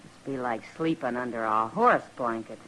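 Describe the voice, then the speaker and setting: A woman's voice: rumbling low, normal speed, fairly bright tone. An American actress playing a tough-minded Old West woman with a dry, wry delivery in an old-time radio western. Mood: wry, familiar. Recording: noisy vintage radio drama recording.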